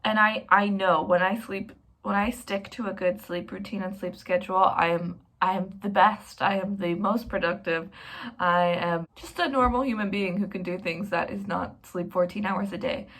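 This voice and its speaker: A woman's voice: mid-range voice, steady tone, normal speed, a bit bright, low-quality recording. Young female vlogger, chatty and rambling, self-deprecating, casual talk to camera. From Canada.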